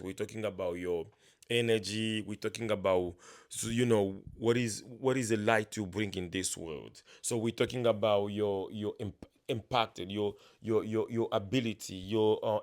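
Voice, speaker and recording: Earnest motivational speaker, male, non-native English, lowish voice, halting delivery. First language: not English. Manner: earnest, halting, many restarts and fillers. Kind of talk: casual motivational monologue.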